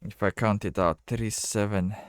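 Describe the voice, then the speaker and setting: A man giving casual, low-key game commentary, thinking out loud in halting phrases as he plays. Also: fairly even intonation, clean tone, dry recording, studio quality. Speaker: gamer narrating own playthrough.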